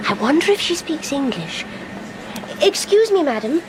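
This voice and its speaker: A young English lady traveller in a radio play, polite and inquisitive. Her voice sits fairly high with animated pitch, and clear train noise runs behind her. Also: normal speed. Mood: polite, curious. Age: young adult. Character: polite, curious young Englishwoman travelling alone, sharp-eyed. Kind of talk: radio drama acting with first-person narration.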